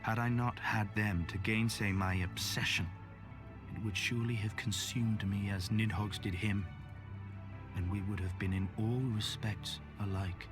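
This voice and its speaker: A beaten fantasy game knight, played by a man, speaks with solemn weariness. His breathy delivery carries grief in archaic, formal phrases. Clear music plays behind him. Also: fairly low pitch, fairly even intonation, lightly acted. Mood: weary, sorrowful. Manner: slow archaic, solemn speech. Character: exhausted, grieving dragon-slaying knight, solemn and beaten. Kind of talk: dramatic video game acting.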